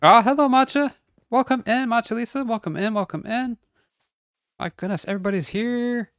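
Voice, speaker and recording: A man's voice: very high-pitched, normal speed, dry recording. Casual male streamer, close dry mic, chatty and upbeat. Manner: chatty, laughs often, greets viewers brightly. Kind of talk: casual livestream chat.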